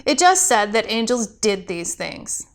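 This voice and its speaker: A woman's voice: medium pitch, big pitch swings, measured pace. A woman reading a scripted story expressively, as a pastor telling a tale.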